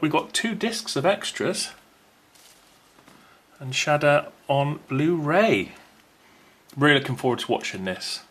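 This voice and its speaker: A man's voice: mid-range voice, fairly bright tone. A man presenting an unboxing video in a casual, unscripted way, chatty and rambling as he thinks aloud.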